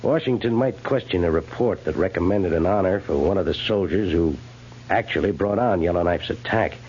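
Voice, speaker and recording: An actor playing a cautious, bureaucratic army major in a 1950s American radio drama, measured and official with some hesitation. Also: male voice, sits low, a little mellow, a little hiss or hum, natural voice. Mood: officious, evasive. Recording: muffled, humming 1950s radio recording.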